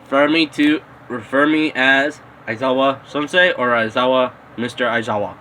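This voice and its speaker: A man's voice: medium pitch, moderate pace, sharp tone, a little hiss or hum, close to an everyday voice, poor audio. A man voicing a stern, impatient male teacher who gives curt, dismissive instructions in an amateur audio story.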